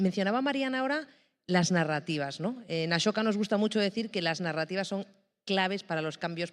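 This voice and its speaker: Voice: mid-range voice, clean tone. Hesitant panel moderator. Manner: hesitant, with fillers and self-corrections.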